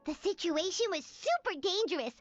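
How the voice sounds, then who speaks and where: A tiny, boastful fairy sidekick from an anime game. The voice is extremely high and childlike, bouncing all over in pitch with perky excitement. Music plays clearly behind the slightly muffled sound. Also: female voice, normal speed. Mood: dramatic, proud. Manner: perky, boastful, refers to self by name. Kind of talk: dubbed anime game story acting.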